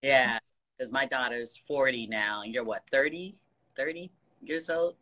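A woman's voice: rumbling low, a little slow. A woman in her sixties on a casual phone video chat, gushing with praise and encouragement, talking to the camera from an office. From United States. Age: 60s. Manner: gushing, warm praise with frequent laughs. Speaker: fan on a video call. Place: office with ceiling lights. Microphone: phone camera held close.

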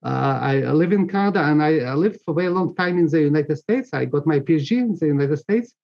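A middle-aged man with a Ukrainian accent speaks in a fairly high voice for a man. He explains things as an academic guest, stopping often to hesitate and restart. The sound is dull, as over a video call. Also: animated, gravelly, darkish tone. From Western Ukraine. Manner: halting, frequent hesitations and repeated words. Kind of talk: interview answers, analysis. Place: book-lined office, indoors. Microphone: video call, computer mic. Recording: muffled video-call audio.

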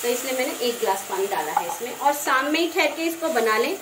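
A woman talking through a home cooking recipe step by step, in a gentle, conversational way. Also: on the high side, animated, fast talker. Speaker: home cook. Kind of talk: cooking demonstration voice-over. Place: home kitchen. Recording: roomy kitchen, frying noise. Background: sizzling frying pan.

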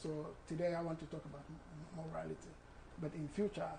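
A Ghanaian man in his sixties, a guest author speaking in a measured, explanatory way in a studio interview on a lapel mic. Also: a little high, level tone, creaky, a crowd in the background, low-quality recording. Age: 60s.

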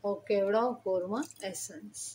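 Female cooking host, middle pitch, calm step-by-step instructions, noisy kitchen recording. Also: measured pace. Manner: plain instructional, addresses viewer directly. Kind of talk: step-by-step recipe voice-over.

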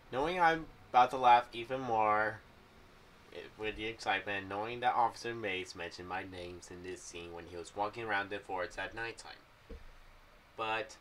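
A young man giving casual, rambling commentary to camera with a halting, emphatic delivery. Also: mid-range voice, fairly bright tone, distant mic, a little hiss or hum. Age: young adult. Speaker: fan theory vlogger. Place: small home room. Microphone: boom-arm condenser mic with pop filter.

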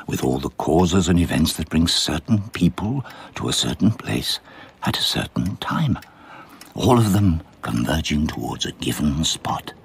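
A man's voice: a bass voice, creaky, exaggerated voice. An actor playing an older man musing darkly on murder in a radio mystery play. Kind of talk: radio mystery drama monologue.

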